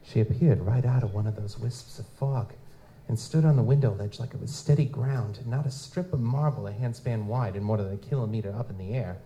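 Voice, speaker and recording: An actor playing a world-weary, cynical private investigator in a live noir audio drama, wry and slangy in manner. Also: male voice, medium pitch, brisk, warm tone, close to an everyday voice, poor audio. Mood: brooding, wry. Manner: wry, slangy, hard-boiled. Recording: live convention performance, clear background noise.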